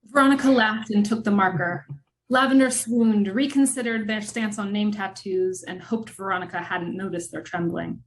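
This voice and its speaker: A woman's voice: mid-range voice, low-quality recording. Woman narrating a noir radio drama. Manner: measured, dramatic storytelling with wry asides.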